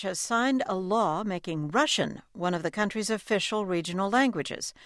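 Anchor: a woman with a mid voice reading crisp formal copy, dry and close. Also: medium pitch, no echo. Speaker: news anchor. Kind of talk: scripted news introduction.

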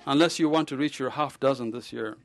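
A man voicing a blunt, jovial male surgeon who tells stories in a lively, teasing and theatrical way. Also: a little high, moderate pace, a faint crowd, voice pushed up, natural voice. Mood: mocking, jovial.

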